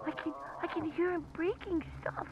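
Woman acting frightened, high-voiced little girl. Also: fairly even intonation, dull audio, slight background noise. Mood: frightened, pleading. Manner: hesitant, halting, pleading. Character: frightened young girl calling police dispatch. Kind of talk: video game voice acting.

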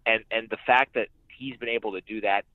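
A man's voice: lowish voice, steady tone, fairly quick, no room sound. A man giving conversational sports analysis as a radio announcer guest, emphatic and repeating phrases for emphasis. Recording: muffled, band-limited phone-like radio sound.

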